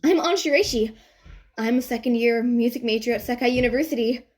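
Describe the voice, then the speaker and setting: A woman's voice: fairly high pitch, a little fast, darkish tone, lightly acted. A woman voicing an eager, flustered young female music student who tries to sound cool. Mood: nervous, eager. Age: young adult. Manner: perky, trying to sound cool.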